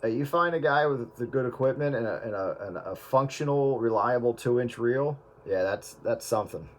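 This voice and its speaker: A man chatting casually on a radio talk show, telling an anecdote in a relaxed, conversational way. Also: mid-range voice, normal speed, heavy background noise. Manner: anecdotal storyteller.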